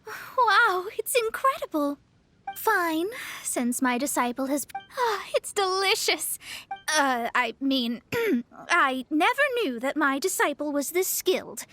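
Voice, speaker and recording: Young female stargazer, high voice, haughty, easily flustered, grand formal phrasing, video game acting, background music. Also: very animated, slow pace, pushed and bright, heavily acted. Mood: flustered, proud. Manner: pompous, formal. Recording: music behind the voice.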